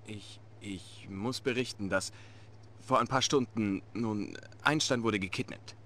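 A man's voice: on the low side, unhurried, fairly bright tone. A male actor playing a middle-aged Soviet general in a game cutscene, pompous and defensive. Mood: nervous. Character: boastful, anxious Soviet general. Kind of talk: video game cutscene acting.

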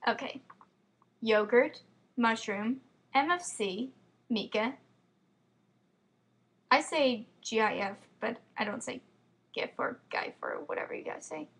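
Teen girl, American accent, slight lisp, unhurried delivery, casual home webcam vlog. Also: on the high side, lively intonation, creaky, a bit bright. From Florida, United States. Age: teens. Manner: quick, chatty, rambling with many fillers. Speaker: fan vlogger. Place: home room with bookshelves, indoors. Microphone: built-in computer webcam mic.

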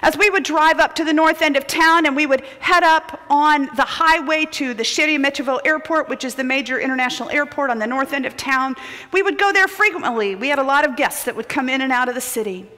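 A middle-aged woman preaching a sermon built on personal stories, shifting between loud urgency and hushed near-whispers. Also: high voice, brisk. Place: large church sanctuary with choir loft. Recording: long hall reverb of a large sanctuary.

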